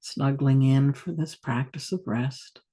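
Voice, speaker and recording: Husky, creaky woman guiding meditation. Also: rumbling low, dark tone. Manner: soothing, unhurried, with long pauses. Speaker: meditation teacher. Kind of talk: guided yoga nidra meditation.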